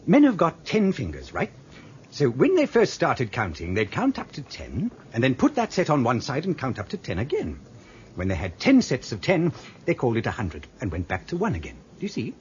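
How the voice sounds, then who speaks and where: A man's voice: medium pitch, huge pitch swings, moderate pace. A man playing a computer programmer in a radio drama, explaining things patiently and chattily. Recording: dull lo-fi audio with faint noise.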